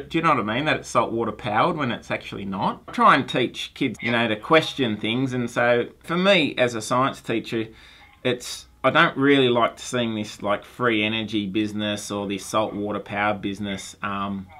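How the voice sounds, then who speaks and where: A man's voice: on the low side, moderate pace, sharp tone. A science teacher in his forties on a phone call, friendly and earnest, often restarting his sentences. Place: small room with shelves of toys and lab gear. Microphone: phone handset held to ear, room mic.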